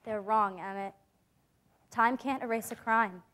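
A woman's voice: fairly high pitch, measured pace, fairly bright tone. A young woman acting an idealistic, reassuring teenage girl diarist in a staged dramatic reading. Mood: firm, consoling. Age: young adult. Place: conference stage before a seated audience. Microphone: lapel mic.

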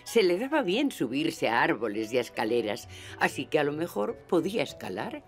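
A gentle woman storyteller narrates for small children in Castilian Spanish. Her voice is very low for a woman, with lively, expressive intonation. Music plays clearly underneath. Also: moderate pace. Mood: gentle, pleased.

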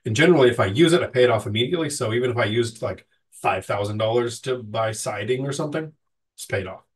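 A man's voice: medium pitch, fast, recorded from a distance. A middle-aged man with a sick, nasal voice, casually answering live chat questions as host, with frequent ums and restarts.

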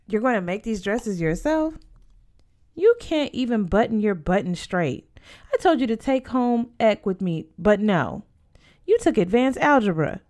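A woman voicing a bossy, generous teenage girl in a storybook, brisk, teasing and persuasive. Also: medium pitch, sing-song, a little mellow. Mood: scolding.

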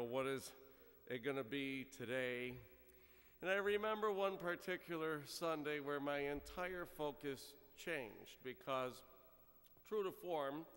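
A man's voice: mid-range voice, fairly bright tone. A middle-aged man, a priest, giving a conversational sermon from the pulpit, telling stories with frequent fillers. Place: large church, speaking from the pulpit. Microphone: gooseneck pulpit microphone. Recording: church reverb from a fixed camera.

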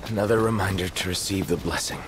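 An actor playing a laid-back young prince in a fantasy game, casual, understated and terse. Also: male voice, deep voice, fast, background noise, close to an everyday voice. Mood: reflective.

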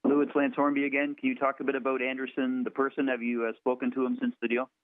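Tinny phone line, man, brisk pace, sports reporter questioning. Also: mid-range voice, little pitch movement, no room sound. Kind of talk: asking questions on a press call. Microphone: telephone. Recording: dry, narrow phone line.

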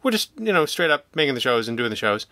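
Bright-voiced man talking haltingly, dry sound. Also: medium pitch, big pitch swings, no echo. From Canada. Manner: halting, digressive, self-interrupting. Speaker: podcast guest, audio drama writer and producer. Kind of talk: casual interview chat.

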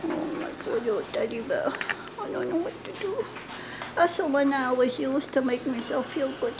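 A woman's voice: on the high side, background noise. A woman voicing an anxious young male dog who talks in a whiny, childlike, pleading way. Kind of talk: pet voice-over monologue.

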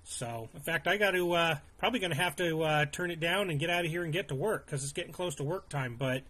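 Male ham radio operator, a little higher in pitch, casual and courteous on-air chat. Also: fast, bright, forceful tone. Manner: polite, appreciative.

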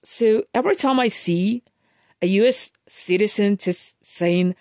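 A woman's voice: medium pitch, a little slow, mellow, no echo. Emphatic ranting woman, dry close voice. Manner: emphatic, lecturing, frequent restarts and fillers. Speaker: vlogger. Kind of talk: casual ranting monologue.

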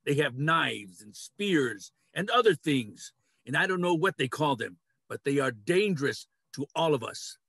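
A man's voice: on the high side, animated, measured pace, fairly bright tone, breathy edge, no echo, full character voice. An older man playing a grave animal council member in a dramatic play reading, emphatic and formal. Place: cluttered home room, video call.

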